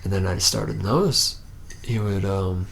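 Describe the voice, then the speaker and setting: A reluctant young vampire acted out by a man murmurs through an ASMR roleplay monologue. His voice is low and crackles heavily with vocal fry, halting and awkward, often sinking near a whisper. A faint noise sits behind him. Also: a bit bright, exaggerated voice. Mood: hesitant, uneasy recollection. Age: young adult.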